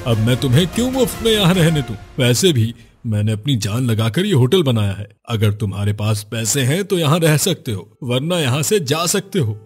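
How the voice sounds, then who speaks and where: A man's voice: medium pitch, very animated, normal speed, husky, faint music behind. A man playing a greedy, arrogant village hotel owner, cold and dismissive, in a cartoon story. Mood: cold, scornful. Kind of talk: cartoon moral story dialogue.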